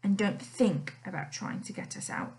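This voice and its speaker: A woman's voice: medium pitch, animated, moderate pace, vocal fry, a little mellow. A woman voicing a sly, bullying male fox who growls and snaps out smug threats in a storybook. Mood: menacing.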